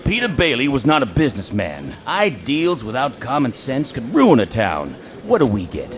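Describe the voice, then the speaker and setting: A man's voice: medium pitch, huge pitch swings, normal speed, a crowd in the background. An actor playing a greedy, scornful old male banker in a staged radio drama, speaking curtly and dismissively, with an audience murmuring behind. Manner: curt, dismissive.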